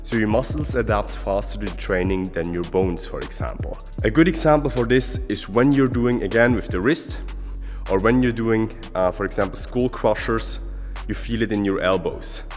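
A man's voice: fairly low pitch, moderate pace. A young man, a German-speaking fitness coach from Switzerland, earnestly explaining gym tips to a handheld camera at arm's length in a large indoor gym. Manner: earnest, explanatory, adds okay often. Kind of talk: casual vlog advice. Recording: echoey gym hall with music.

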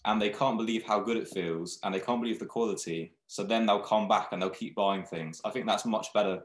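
Young brand founder, lowish voice, laid-back and rambling, webcam in a roomy-sounding room. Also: male voice, brisk. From Thailand, raised in England. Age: young adult. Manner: relaxed, rambling, frequent restarts. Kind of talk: casual video-call interview. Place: small room indoors. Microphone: webcam video call. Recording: echoey room.

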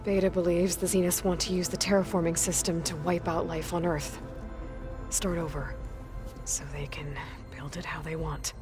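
Woman acting young female game adventurer, lowish pitch, earnest, determined, plain-spoken, music behind. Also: flat delivery, normal speed, natural voice. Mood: concerned, puzzled. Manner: plain-spoken, thoughtful, low-key. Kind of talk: scripted video game dialogue.

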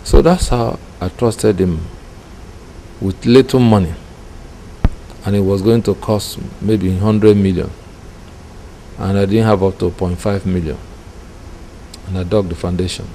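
A middle-aged Nigerian man with a Nigerian accent, a preacher giving personal testimony deliberately, phrase by phrase. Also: sits low, warm tone, poor audio. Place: indoor room with curtains. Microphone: microphone close in front. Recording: clear background noise.

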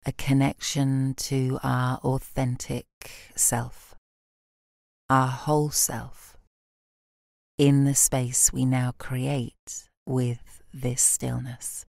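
A British woman leading a guided relaxation meditation in a calm, soothing, soft voice, with gentle instructions and long pauses. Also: a bass voice, creaky, dry recording, studio quality. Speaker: yoga nidra meditation guide.

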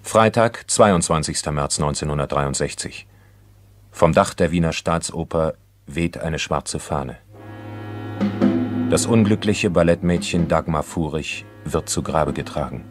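An actor playing a grave crime chronicler, narrating a radio drama in a sober, measured way. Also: male voice, very deep voice, soft background music.

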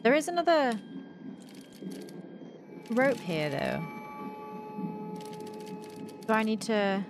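Slow-paced young woman, playful gaming chatter. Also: fairly high pitch, big pitch swings, noisy background. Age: young adult. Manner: playful, self-deprecating, muttering to herself. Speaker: gaming video host. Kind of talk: casual let's-play commentary, thinking aloud.

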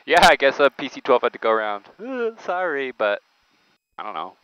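A man flying solo and calmly talking through his landing and shutdown checklists in a small jet cockpit. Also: mid-range voice, moderate pace, smooth, no echo, muffled recording. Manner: calm, matter-of-fact checklist callouts. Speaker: pilot. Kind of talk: solo flight vlog with checklist callouts.

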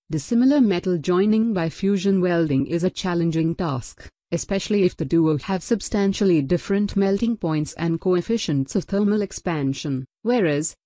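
A woman narrating a technical tutorial over slides, reading a numbered list in an even, measured way. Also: on the low side, no echo, clean studio sound.

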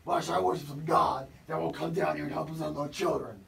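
A man's voice: a little high, quick pace, creaky, a bit bright, reverberant. An actor on a church stage playing a young man who pleads and protests against his judgment. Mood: indignant. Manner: pleading, indignant. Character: defiant young man facing damnation. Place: church stage set, indoors. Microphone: distant camera mic. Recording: noisy, distant stage voice.

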